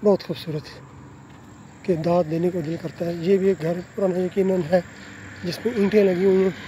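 A Pakistani man with an Urdu accent narrating a walking tour of a quiet residential street, politely pointing out houses as he films on his phone. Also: high voice, fairly even intonation, dull audio, noisy background. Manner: polite, unhurried tour-guide commentary with frequent "ji" and "theek hai na". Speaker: travel vlogger. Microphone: handheld phone camera while walking.

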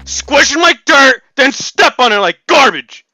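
Over-excited taunting shooter-game announcer played by a man, extremely shrill and forceful, bone-dry recording. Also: unhurried, no echo, faint music behind, heavily acted. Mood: gleeful taunting. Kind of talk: shouted game voice lines.

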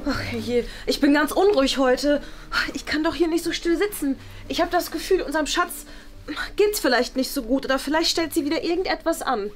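An anxious gothic mother acted by a young woman, with a high voice and a fretful, theatrical way of repeating herself. It is improvised web drama with some music behind. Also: brisk, natural voice. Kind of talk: improvised web-series acting.

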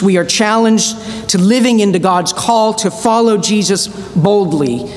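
High-voiced man preaching in a church. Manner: measured, earnest preaching with slow emphatic phrases. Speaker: priest. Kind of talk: sermon. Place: church sanctuary, at a pulpit. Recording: reverberant church with faint rumble.

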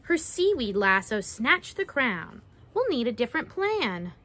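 A woman's voice: fairly high pitch, big pitch swings, measured pace, bright, forceful tone. A young adult woman reading a children's picture book aloud expressively, dramatizing the verses and putting questions to her young listeners. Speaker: read-aloud storyteller. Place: outdoors on a wooden backyard deck.

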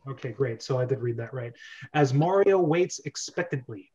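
A man's voice: mid-range voice, lively intonation, normal speed, mellow. A young man hosting a script reading club, reading stage directions and chatting casually. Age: young adult. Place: bedroom on a video call. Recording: dull lo-fi webcam audio.

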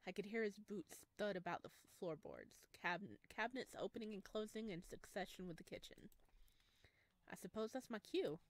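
A woman streaming a visual novel, reading narration aloud and reacting in an expressive, gushing way. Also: mid-range voice, normal speed, no room sound. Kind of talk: game playthrough with read-aloud narration and excited reactions.

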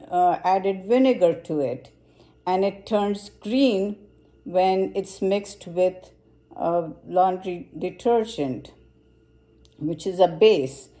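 A woman gives a children's science lesson indoors in a kitchen. Her voice is dark and relaxed, at a middle pitch. She explains each step slowly and a little haltingly, in simple instructional language. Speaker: children's library science presenter.

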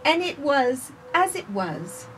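A woman in her forties reading a picture book aloud for children with expressive, sing-song phrasing, recorded outdoors on a porch. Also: sits high, slow pace, soft background music. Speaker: children's storytime reader. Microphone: camera a few feet away. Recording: distant camera mic, faint outdoor noise.

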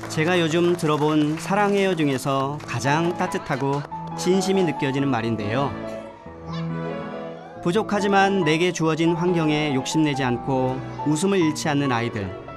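A man narrating a travel documentary in a gentle, reflective storytelling voice. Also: on the high side, normal speed. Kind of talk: scripted voice-over narration. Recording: voice-over with music behind. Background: background music.

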